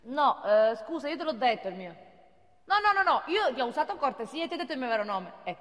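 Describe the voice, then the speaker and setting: An actress playing a flirtatious, excitable woman on a phone date in a comic stage monologue, coy, giggly and repeating herself. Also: sits high, quick pace. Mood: flustered, insistent. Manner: coy, flustered, repetitive, giggly. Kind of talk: comic theatre monologue as one side of phone call. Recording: echoey theatre hall, clear background noise.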